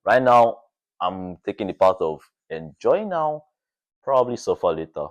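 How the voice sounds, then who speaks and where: Young guy podcast guest, really low voice, casual, sorta halting as he thinks out loud. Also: sing-song. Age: young adult. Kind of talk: casual chat.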